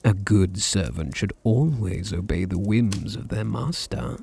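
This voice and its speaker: A man with a deep British voice playing a possessive, dominant vampire master in an intimate ASMR roleplay, teasing and condescending, with a gravelly, husky murmur. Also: darkish tone, heavily acted. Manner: slow, teasing, condescending, drops into breathy murmurs.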